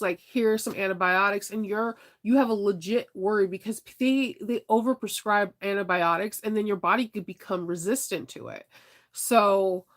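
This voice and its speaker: A woman vlogger chatting casually with viewers, laughing often and sounding stuffed up from congestion. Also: mid-range voice. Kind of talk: casual chat.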